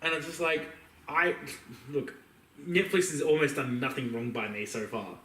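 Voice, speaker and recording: A man's voice: mid-range voice, lively intonation, moderate pace, sharp tone. A man co-hosting a podcast, chatting casually in a slangy, opinionated and joking way. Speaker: podcast co-host. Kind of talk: casual chat about video games and films. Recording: roomy echo, distant mic.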